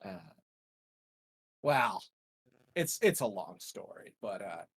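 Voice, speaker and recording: A man's voice: on the low side, sing-song, slow, a bit bright, breathy edge. A man improvising in character as a player in a tabletop game, telling things in a rambling, evasive, hesitant way.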